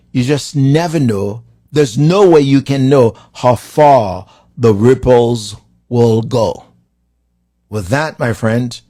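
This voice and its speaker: A man from Haiti with a Haitian accent, giving a live-streamed motivational talk to viewers, building his lines with slow, preacherly emphasis. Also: medium pitch, a little mellow, dry recording, clean studio sound. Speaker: motivational speaker.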